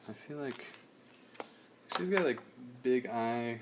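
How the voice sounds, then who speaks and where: A young man, slightly hoarse, chatting casually in a relaxed, rambling way while he streams a drawing session. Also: medium pitch, slow, background noise. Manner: relaxed, rambling, many fillers.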